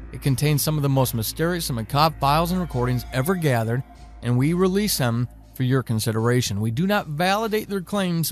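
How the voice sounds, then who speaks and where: A man reading a scripted podcast introduction as the announcer. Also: fairly high pitch. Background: faint music.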